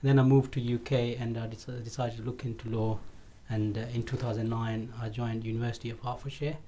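A man from Pakistan, a solicitor and radio panel guest, answering questions in a measured, hesitant way with many uhs. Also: mid-range voice, little pitch movement, warm tone, a little hiss or hum. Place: radio studio.

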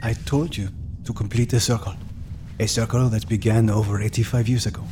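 A man's voice: fairly low pitch, fairly even intonation, a little fast, noisy background, close to an everyday voice. An actor playing a reflective, solemn man in his eighties, haunted by memories of his father, in an audio drama. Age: 80s. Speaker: storyteller recalling his childhood. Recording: music under the voice.

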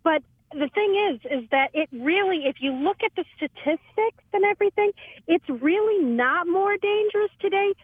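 Woman, forties, podcast panelist, high voice, tinny phone-like sound, dry. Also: animated, moderate pace, no room sound. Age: 40s. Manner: matter-of-fact, arguing with facts. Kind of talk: casual panel chat.

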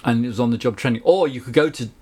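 A middle-aged man with a Southern English accent, a recording engineer telling anecdotes in a relaxed interview, chatty with frequent asides. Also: medium pitch, very fast, slightly rough. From England. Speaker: guest, recording engineer and producer.

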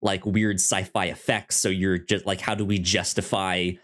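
A man co-hosting a podcast in casual chat, halting and often repeating words as he works out his thoughts. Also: low-pitched, level tone, a bit bright. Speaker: podcast co-host discussing a TV series.

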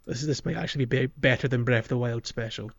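A man's voice: mid-range voice, fast talker, gravelly. Man chatting casually, dull lo-fi sound. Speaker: podcast host. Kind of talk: casual video game discussion. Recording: dull lo-fi microphone.

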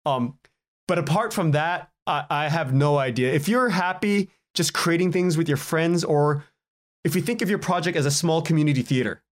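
A man speaking as a podcast guest in casual interview chat, informal and halting as he thinks aloud. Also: on the high side.

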